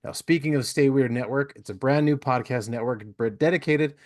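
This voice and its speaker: A man's voice: medium pitch, brisk. A man of about forty hosting a podcast, chatting in an informal, chummy way. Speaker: podcast host. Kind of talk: casual interview chat.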